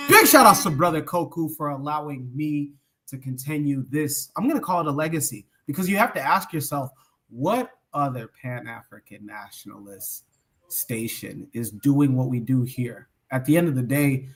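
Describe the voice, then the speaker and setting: A man's voice: mid-range voice, animated, normal speed, fairly bright tone. Enthusiastic man signing off. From Omaha, Nebraska. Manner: enthusiastic, hesitant with many fillers. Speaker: podcast co-host. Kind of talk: closing remarks and shout-outs.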